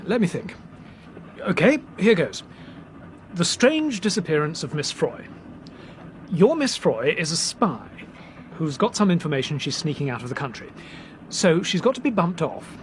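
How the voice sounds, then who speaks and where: An actor playing a breezy, quick-witted young Englishman, jaunty and theatrical, in a British radio drama. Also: on the high side, big pitch swings, slight background noise. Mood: jaunty, teasing. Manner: jaunty, teasing, theatrical storytelling.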